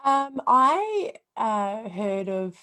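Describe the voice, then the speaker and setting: A woman from Western Australia, a guest on a live video call from home, soft-spoken and thoughtful, pausing to find her words. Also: a little high, big pitch swings. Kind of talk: casual spiritual chat on a live video call.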